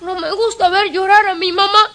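A woman's voice: very high voice, fairly even intonation, moderate pace, fairly bright tone. A woman voicing a small, clingy boy who talks through sobs and pleads, in a radio drama. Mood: crying. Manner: sobbing, pleading child voice.

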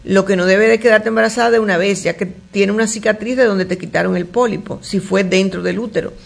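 A woman doctor with a gravelly, rough-edged voice answers listeners' health questions on a call-in show. She talks in a chatty, familiar way, full of fillers and restarts. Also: mid-range voice, steady tone, a little fast. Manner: reads questions aloud then answers warmly, with many fillers and restarts.

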